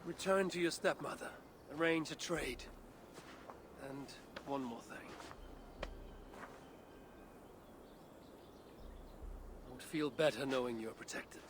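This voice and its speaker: An actor playing a weathered, wary Norse god-warrior, gravelly, grave and measured, in a dramatic video game cutscene. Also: male voice, a little high, background noise, close to an everyday voice. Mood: brooding.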